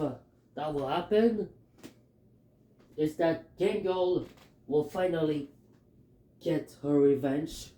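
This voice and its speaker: A man with a Filipino accent talking through his fan theories in a halting, hesitant way, with many fillers. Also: fairly high pitch, dark tone, roomy sound, rough recording. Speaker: fan commentator. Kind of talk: casual theory talk.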